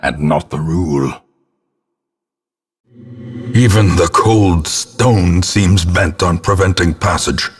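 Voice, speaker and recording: A very deep, husky old ghostly ancestor, narrates a gothic horror game. The delivery is very slow, solemn and portentous, with ornate theatrical weight. The character sounds grim and ominous, as if presiding over a doomed estate. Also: male voice, full character voice. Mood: grim foreboding.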